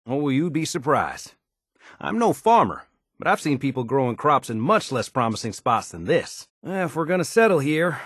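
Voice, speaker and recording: Lively, folksy wasteland handyman. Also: fairly high pitch, dry recording, clean studio sound. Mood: practical. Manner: folksy, colloquial, easygoing phrasing. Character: down-to-earth male wasteland handyman, folksy, modest, wry. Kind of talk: video game character dialogue.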